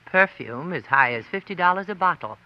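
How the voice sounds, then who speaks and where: Boastful shop merchant, lively swooping pitch, 1940s radio vignette, dull dry recording. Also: male voice, fairly quick.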